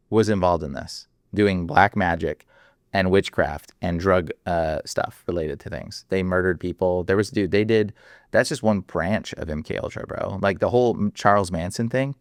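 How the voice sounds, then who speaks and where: A man with a very low voice speaks as a guest in a casual interview. He talks in an animated, emphatic way, piling up fillers. The recording is dry and close. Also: dry recording.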